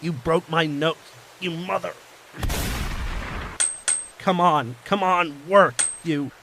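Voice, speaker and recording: A man's voice: fairly high pitch, slow, noisy background. A man playing a ruthless armed bank robber in an audio drama, speaking in a domineering, commanding, menacing way. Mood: enraged, hurt.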